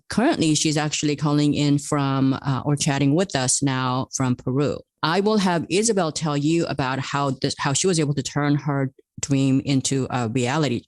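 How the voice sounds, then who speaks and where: A woman's voice: low voice, darkish tone, no room sound. A woman hosting a podcast interview, rambling and talking in loose, restarting sentences. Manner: rambling, lots of "you know" and restarts. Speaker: podcast host. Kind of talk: conversational interviewing.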